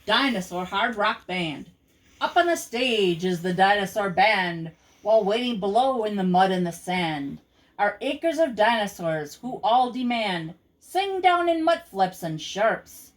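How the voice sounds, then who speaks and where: An older woman reading children's rhyming verse aloud as a grandmother would at storytime, with a measured, rhythmic delivery. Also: medium pitch, expressive, rough recording.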